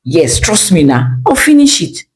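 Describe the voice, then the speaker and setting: Casting: female narrator as a young seamstress, stubborn and dismissive, very expressive pitch, folktale storytelling. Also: normal speed, breathy edge, full character voice. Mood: breezy, casual. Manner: casual, dismissive reassurances. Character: laid-back, stubborn young tailor woman brushing everyone off. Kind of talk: storytelling character voice.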